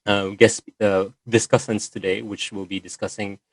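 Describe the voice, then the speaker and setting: Guy hosting a video call, lowish voice, lots of uhs and ums, dry sound. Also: level tone, moderate pace, no echo. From Philippines. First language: Filipino. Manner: hesitant, many fillers and restarts. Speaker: session host and moderator. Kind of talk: online meeting presentation.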